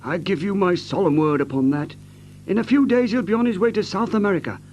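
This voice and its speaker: A man's voice: high voice, fairly quick, a little mellow, highs cut off, a little hiss or hum, lightly acted. An actor playing a deferential household servant in an audio drama, pleading and hesitant as he speaks.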